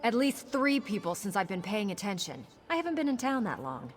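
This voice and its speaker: A woman playing a protective, composed older sister with an earnest, measured manner in game dialogue. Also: medium pitch, sing-song, a little hiss or hum, close to an everyday voice. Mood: earnest, concerned.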